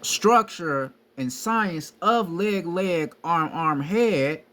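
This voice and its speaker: A man's voice: fairly high pitch, very slow, a bit bright. A young man giving a casual, profane lecture on spiritual ideas, talking emphatically and repeating himself. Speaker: spiritual teacher. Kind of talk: casual street-style lecture.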